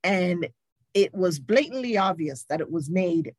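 A woman's voice: lowish voice, animated, unhurried, slightly rough, dry recording, clean studio sound. A woman speaking as a nurse guest in a candid interview, emphatic and indignant, repeating points for stress. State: recovering from covid, stuffy.

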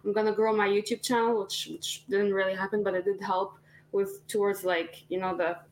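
A woman's voice: mid-range voice, flat delivery, fairly quick, low-quality recording. A woman in her twenties, a singer-songwriter guest on a podcast, talking casually with lots of fillers and restarts. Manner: chatty, many fillers and restarts.

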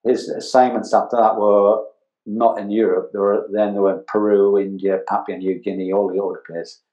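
An older English man talks in a low, very mellow voice with plenty of room echo around it. He explains things in casual interview style, often doubling back on words and phrases as he goes. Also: level tone, brisk, highs cut off. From northeast England. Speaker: interview guest, advertising art director. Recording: roomy, echoing sound.

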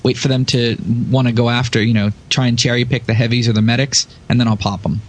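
Male podcast panelist, average pitch, talks through game strategy casually and in detail. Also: flat delivery, fast talker.

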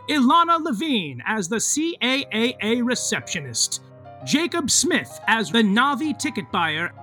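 A man's voice: very high voice, pushed and bright, full character voice. A man playing a mock-grandiose, tongue-in-cheek narrator of an audio soap opera, delivering scripted narration theatrically. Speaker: narrator reading recap and credits.

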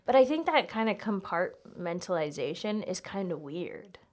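A woman's voice: on the low side, big pitch swings, normal speed. A young American woman chatting casually and telling personal anecdotes, with lots of "like" in her informal speech. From United States (South Carolina and Virginia). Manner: informal, heavy use of "like". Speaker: guest, comedian.

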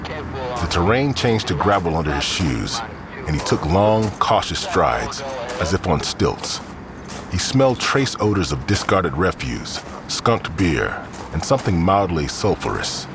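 A man's voice: sits low, very animated, unhurried, vocal fry, background noise. A man reading scripted crime fiction as the narrator.

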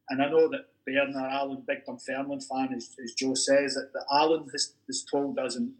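A Scottish man, an academic in a podcast discussion, making careful, measured points that he qualifies as he goes. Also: mid-range voice, fairly bright tone, poor audio. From Scotland. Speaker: university academic discussing football history.

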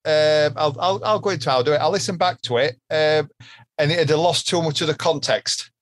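A man with a Yorkshire accent hosting a casual podcast chat, talkative and quick to laugh. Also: a little high, no room sound. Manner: chatty, laughs easily, swears casually. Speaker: podcast host.

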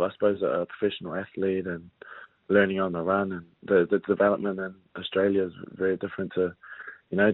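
A young man from New Zealand talks in an easygoing, halting way, full of ums and restarts. His voice sits a little low. It comes through a narrow, phone-like line with no room sound. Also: no echo. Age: young adult. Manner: relaxed, halting, many fillers and restarts. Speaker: rugby league player, guest. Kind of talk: casual interview chat.

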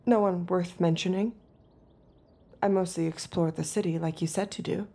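A woman playing a compliant young girlfriend in an audio drama, soft-spoken and guarded, sweet on the surface and doubting underneath. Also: on the low side, fairly even intonation, normal speed. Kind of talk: naturalistic audio drama acting.

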